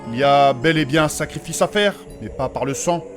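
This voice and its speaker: A man's voice: on the high side, fairly quick, over music, lightly acted. A man playing a courteous, solemn nobleman with old-fashioned formal diction in a fantasy audio drama. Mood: solemn explaining. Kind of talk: French fantasy comedy audio drama acting.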